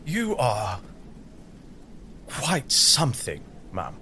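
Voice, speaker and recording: A gallant, deferential knight in an audio drama. The voice is bright and very animated in pitch, over faint ambient noise. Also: male voice, very slow, heavily acted. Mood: admiring, awkward.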